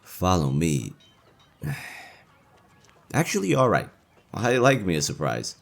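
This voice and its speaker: A young elven leader voiced by a man with a low-pitched voice, speaking as an affectionate boyfriend in an intimate audio roleplay. He is casual and teasing, yet idealistic at heart. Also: wildly swooping pitch, slow pace, creaky, heavily acted. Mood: playful, casual. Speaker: voice actor in romantic audio roleplay.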